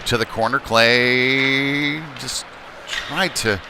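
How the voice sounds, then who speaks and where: A man giving live play-by-play commentary on ice hockey in a halting way, with frequent pauses. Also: mid-range voice, steady tone. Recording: noisy live rink broadcast.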